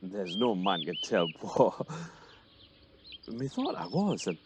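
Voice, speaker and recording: Guy doing excitable weaver who thinks hes a great actor, hammy shakespeare radio play, pitch all over the place. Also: slow pace, background noise, exaggerated voice. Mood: awed, wondering. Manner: theatrical, chuckling, self-important.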